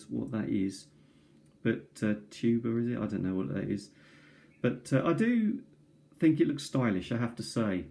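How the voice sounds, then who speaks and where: A man casually showing his cassette tape collection at a kitchen table, recorded on a tablet, pausing often with ums and restarts. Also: mid-range voice, vocal fry, dark tone. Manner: hesitant, many ums and restarts. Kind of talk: casual show-and-tell. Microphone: tablet camera mic.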